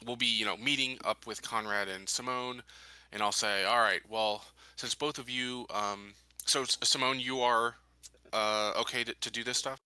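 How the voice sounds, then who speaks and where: A man playing a tabletop roleplaying game, improvising his character's words in a halting, tentative way. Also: mid-range voice, steady tone, bright, forceful tone, clean tone, no echo, rough recording.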